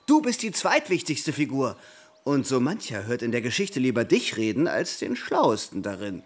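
An actor playing a young, big-mouthed bachelor in a radio drama, flattering with mock reverence and grand words. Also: male voice, medium pitch, moderate pace. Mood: teasing. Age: young adult.

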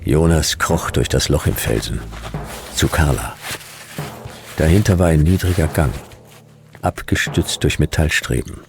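Very deep, gravelly hard-boiled detective. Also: male voice, lively intonation, measured pace, long pauses, background noise, full character voice. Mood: dry, laconic. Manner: terse, clipped first-person storytelling. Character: hard-boiled, laconic male private detective. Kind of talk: noir radio drama narration.

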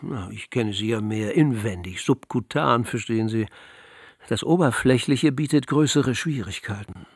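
A blustery, jocular older doctor of the old school in a radio play. His voice is husky and creaky, recorded dry and close, and he rolls out old-fashioned phrases with self-mocking bluster. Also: medium pitch, moderate pace, no echo. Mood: wry, blunt. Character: jovial, self-ironic older male court physician and amateur painter. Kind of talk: radio drama acting.

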